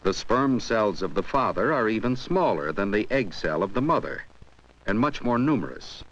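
Deep, expressive male narrator. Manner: measured, explanatory, poses questions to the viewer. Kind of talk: scripted educational film narration. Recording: dull 1970s 16mm film soundtrack.

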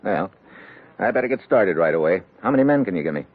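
Deep-voiced wry American secret agent. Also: male voice, lively intonation, quick pace. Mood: sardonic, scheming. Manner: sardonic, clipped wisecracks. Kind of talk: old-time radio adventure acting. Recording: muffled, noisy old radio recording.

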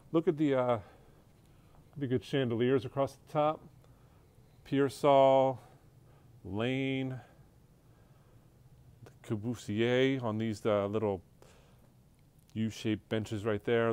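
Smooth-voiced man touring an auction floor. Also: fairly low pitch, a little mellow. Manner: offhand, listing items as he walks. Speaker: auction house staff giving a preview walkthrough. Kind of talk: casual walkthrough narration. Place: large indoor auction showroom full of furniture. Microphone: handheld phone camera, speaker off screen.

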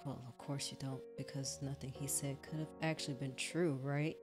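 Woman as jealous murderous young man, low voice, pleading, accusing, visual novel villain, music behind. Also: fairly even intonation, moderate pace. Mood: cold, menacing.

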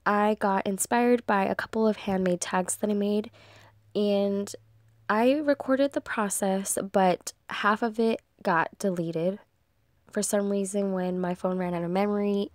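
A woman's voice: medium pitch, slow pace, no echo, clean studio sound. A young woman with a congested, stuffy voice, chatting casually through a craft tutorial voice-over, rambling with lots of ums. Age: young adult. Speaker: crafting channel host.

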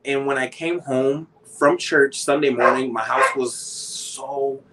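A man's voice: mid-range voice, fairly even intonation, takes it slowly. An American man in his thirties telling a casual story expressively, with emphatic repetitions, as a guest on a video call. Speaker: interview guest, cleaning company owner. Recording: noisy video call with clicks.

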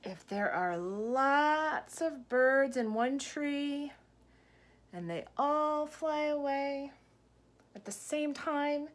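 A woman in her thirties reading a picture book aloud to young children, gently explaining and asking questions as a teacher does. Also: high voice, animated, drawn-out words. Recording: clear background room noise.

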